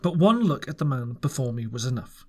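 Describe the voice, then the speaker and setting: Lively man reading a storybook aloud. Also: medium pitch, a little fast. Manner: earnest, expressive, with small restarts. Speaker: audiobook reader. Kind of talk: first-person story narration.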